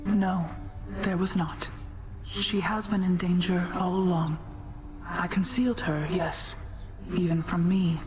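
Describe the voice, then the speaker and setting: An actress playing a calm, ancient goddess of night who speaks in a measured, solemn, formal way. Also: female voice, lowish voice, over music.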